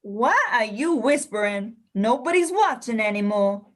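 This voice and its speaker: A woman's voice: on the high side, lively intonation, a little slow. A woman playing a stubborn, feisty old lady ghost, mischievous and insistent, in a radio play. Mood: jealous, feisty. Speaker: actor in an amateur radio play.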